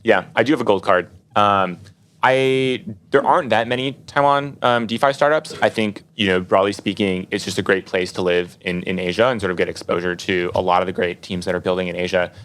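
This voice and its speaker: A man's voice: low-pitched, a little fast, a bit bright. A man speaking as an investor in a casual panel discussion, often repeating words and padding with "you know".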